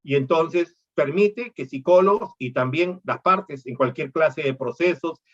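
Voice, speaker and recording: A man's voice: fairly high pitch, measured pace, fairly bright tone, highs cut off. A middle-aged man from Peru, lecturing on law and explaining things with rhetorical questions.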